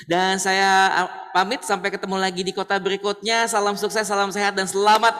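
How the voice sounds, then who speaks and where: A man's voice: high-pitched, level tone, fairly quick, sharp tone, faint music behind. A man hosting a talk event in a chatty, playful style, often repeating his phrases. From Jakarta, Indonesia. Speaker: talk show host. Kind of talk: casual event hosting to an audience. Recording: roomy hall echo, distant mic, noisy.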